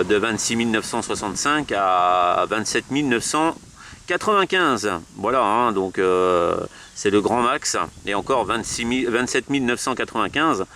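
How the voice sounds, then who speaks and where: A man in a casual amateur radio chat, speaking haltingly and repeating himself with many verbal tags. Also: on the low side, fairly bright tone. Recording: noisy radio transmission, vehicle-like hum.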